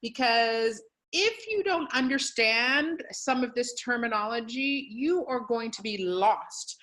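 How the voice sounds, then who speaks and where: Upbeat bright-voiced woman hosting a webinar. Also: fairly high pitch, expressive, slow pace. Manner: upbeat and encouraging, with frequent fillers. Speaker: webinar host and program coordinator. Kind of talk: live educational webinar presentation.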